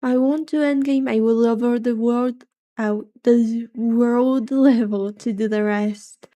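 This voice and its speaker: A soft-spoken woman streamer with a slightly high, mellow voice chats casually over her gameplay. She rambles easily between thoughts and talks straight to her viewers. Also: fairly even intonation, slow pace. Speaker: VTuber streamer. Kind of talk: casual chat while gaming.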